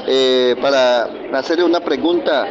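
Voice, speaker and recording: A man's voice: mid-range voice, unhurried. Male field reporter among a crowd. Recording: muffled outdoor audio with crowd.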